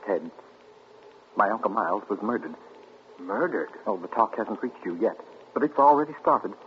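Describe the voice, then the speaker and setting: A man's voice: on the low side, animated, normal speed, slight background noise, lightly acted. An actor playing an anxious young man who speaks in hesitant, earnest bursts, in 1940s radio acting style. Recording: muffled 1940s radio broadcast.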